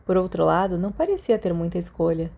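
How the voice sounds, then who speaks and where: A woman's voice: on the low side, very animated, fast, creaky, mellow. A woman with a Brazilian Portuguese accent reading a story aloud as a scripted audiobook narration. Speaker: podfic narrator.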